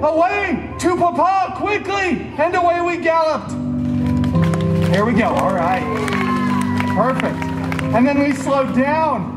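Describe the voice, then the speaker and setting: A man playing a wisecracking, theatrical masked antihero who tells fairy tales to a live crowd with sarcastic asides and dramatic pauses. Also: very high-pitched, lively intonation, distant mic, exaggerated voice. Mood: theatrical, playful. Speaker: theme park character performer. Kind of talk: live interactive comedic storytelling. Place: outdoor theme park stage, recorded from audience. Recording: roomy amplified outdoor show, loud music, crowd.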